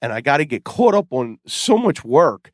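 A man's voice: on the high side, big pitch swings, normal speed. A middle-aged man hosts a tech podcast while he fights a cold, his voice worn down. He rambles in a chatty way, with fillers and false starts. The sound is dry and close, with no room echo. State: sick with a cold, losing his voice. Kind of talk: casual solo podcast talk.

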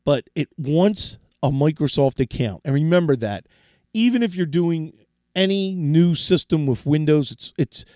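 A middle-aged man hosts his tech show in a relaxed, chatty way, often restarting his sentences as he gives advice. His voice is hoarse and fading from a sore throat, with a mellow, dark tone. It is recorded dry and close, with no room sound. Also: on the high side, measured pace, no echo. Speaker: tech podcast host. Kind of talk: casual solo tech talk.

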